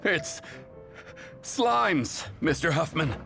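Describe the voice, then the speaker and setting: Jittery young guard, gravelly highish voice, pitch all over, faint music. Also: male voice, very animated, extremely slow, full character voice. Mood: panicked. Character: panicked male guard, jittery subordinate knight. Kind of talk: video game voice acting. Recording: game music faintly behind the voice.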